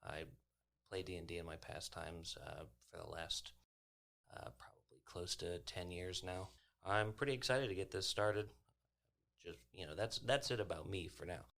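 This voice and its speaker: A man's voice: deep voice, normal speed, vocal fry, fairly bright tone. A man in his twenties, a tabletop game player talking casually about himself and his character. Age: 20s. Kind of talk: casual self and character introduction.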